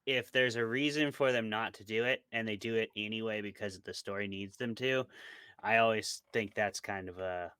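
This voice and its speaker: A man's voice: lowish voice, steady tone, moderate pace, pushed and bright, clear, resonant tone, no echo. An American man chatting casually and informally as an interview guest, giving his opinions with some hedging. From small rural town in United States. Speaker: guest, author and podcast co-host.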